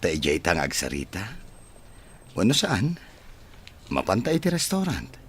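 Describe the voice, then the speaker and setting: A man's voice: low-pitched, huge pitch swings, normal speed, a little hiss or hum. A man playing a rich, cold, arrogant bachelor son in a radio-style drama, curt and scornful in delivery. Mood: coaxing.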